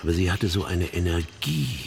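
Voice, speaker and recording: An actor playing an embittered middle-aged man telling a haunted story in halting, emphatic phrases in a radio play. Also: low voice, lively intonation, vocal fry, slight background noise. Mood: intense. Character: embittered older man haunted by his missionary sister's death. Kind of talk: dramatic monologue in a German radio play.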